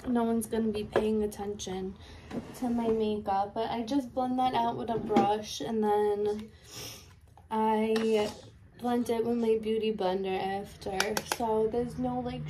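A woman's voice: a little high, noisy background. A young woman, stuffy and sniffly from a cold, chatting casually while doing her makeup at home. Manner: chatty, candid, filler-heavy. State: congested and sniffly. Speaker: lash tech and beauty vlogger. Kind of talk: casual get-ready-with-me chat. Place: bedroom, indoors. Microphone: camera in front, close.

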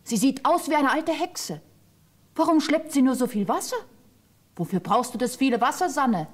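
An actress playing an affectionate, earnest little girl in a fairy-tale radio play, with a husky, childlike voice. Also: sits high, lively intonation, moderate pace. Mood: wary, curious.

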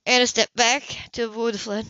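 A woman's voice: on the high side, level tone, a little fast, bright, forceful tone, dry recording. Amateur woman reader, casual slips, muffled dry sound. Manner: reads with slips and stifled laughs. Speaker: audiobook reader. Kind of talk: amateur audiobook reading.

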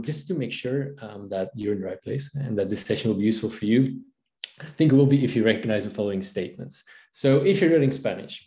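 A man's voice: on the low side, warm tone. A man in his thirties from Belgium, a Dutch native speaker with a Belgian Dutch accent, giving an online language-learning talk over a video call, friendly and full of fillers and restarts. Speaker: language teacher and presenter. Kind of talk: online conference presentation. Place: home room, video call. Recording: echoey room.

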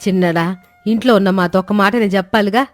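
A woman voicing a kindly, anxious older mother-in-law, soothing and pleading as peacemaker in an animated moral story. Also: mid-range voice, fairly quick, no room sound, faint music behind, close to an everyday voice.

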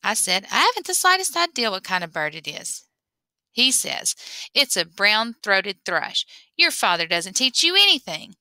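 A woman reading a memoir aloud in an expressive storytelling way. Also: mid-range voice, normal speed, no echo. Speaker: reader.

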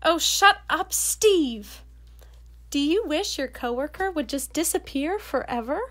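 A woman's voice: high-pitched, lively intonation, unhurried, fairly bright tone. A woman playing a bitter, sarcastic, spiteful commercial co-star in an amateur radio play. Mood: snippy. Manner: snippy, sarcastic.

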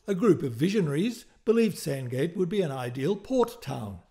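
A man's voice: on the high side, big pitch swings, moderate pace, gravelly, mellow. A man reading scripted historical narration for a documentary.

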